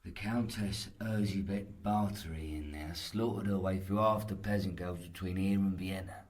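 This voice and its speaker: An actor playing a coarse, lecherous, gluttonous castle guard with a sardonic, earthy delivery in an audio horror drama. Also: male voice, low voice, fairly even intonation, moderate pace, darkish tone, slight background noise, lightly acted. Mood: sardonic, grim.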